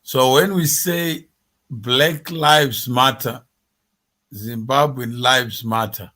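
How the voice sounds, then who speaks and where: A man hosting an interview, asking probing questions in a slow, deliberate way. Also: medium pitch, fairly even intonation, bright, forceful tone. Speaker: interview host.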